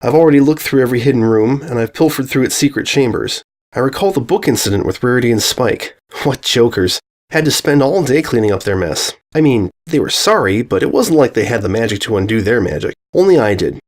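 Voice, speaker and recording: Man voicing a manic young pony mare, wildly swooping pitch. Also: a little fast, natural voice. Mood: manic, wistful. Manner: expressive, theatrical, self-questioning. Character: young female pony, manic, cheerful, restless and anxious. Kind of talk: first-person dramatic storybook narration of fan fiction.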